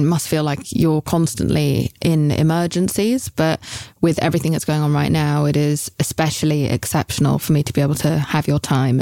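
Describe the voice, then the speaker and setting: Low mellow-voiced woman host, effusive. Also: creaky, dry recording. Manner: warm-hearted, effusive, appreciative. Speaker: podcast host. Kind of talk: interview hosting.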